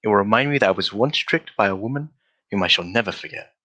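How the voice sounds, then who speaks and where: A courteous, cerebral gentleman detective of old radio drama, acted by a man. His voice is lowish yet bright and forceful, recorded dry, with formal, restrained phrasing. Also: expressive, fast talker, no echo. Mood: courteous, wistful. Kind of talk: adaptation of a 1940s radio drama.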